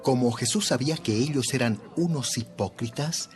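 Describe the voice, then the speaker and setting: A man narrating a dramatized scripture reading in an expressive storytelling way. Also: medium pitch, normal speed. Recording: voice over light background music.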